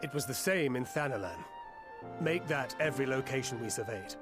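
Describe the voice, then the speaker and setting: A man plays an earnest scout in a game cutscene. His voice sits at an average male pitch and stays businesslike, with music clearly playing behind it. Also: expressive, brisk, lightly acted. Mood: serious, concerned. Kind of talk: video game cutscene acting.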